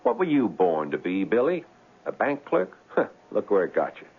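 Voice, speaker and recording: An actor playing a cocky, self-assured crook with streetwise, slangy speech in an old-time radio drama. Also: male voice, on the low side, sing-song, moderate pace, slightly rough, narrow, tinny audio, exaggerated voice. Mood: teasing, philosophical. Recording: band-limited old radio broadcast, faint music.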